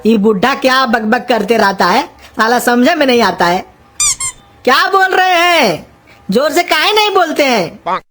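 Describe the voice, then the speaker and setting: A woman's voice: a little high, expressive, unhurried. A woman voicing a silly, half-deaf young male cartoon helper, whiny and naive. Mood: confused, querulous. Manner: whiny, naive, loudly querulous. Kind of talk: cartoon comedy dialogue in rustic Hindi.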